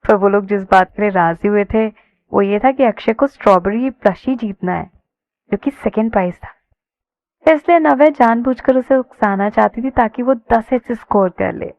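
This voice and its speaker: A woman tells a romance story as narrator, in a conversational way. Her voice has an average pitch for a woman. The recording is somewhat dull and lo-fi. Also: normal speed. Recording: dull lo-fi sound.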